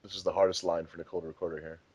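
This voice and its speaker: Man, very low voice, husky, rapid rambling fan commentary. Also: flat delivery, a little mellow, dry recording, lo-fi sound. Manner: enthusiastic, rambling fan talk. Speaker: fandub director on a commentary track. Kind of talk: casual group commentary.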